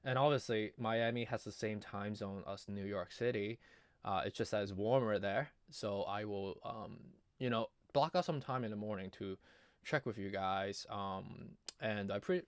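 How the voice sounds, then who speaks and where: A man giving casual, off-the-cuff chart commentary in a halting, conversational way, his voice strained as if giving out. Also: fairly low pitch, clear, resonant tone, dry recording. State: losing his voice, often clearing throat. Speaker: market analysis video host.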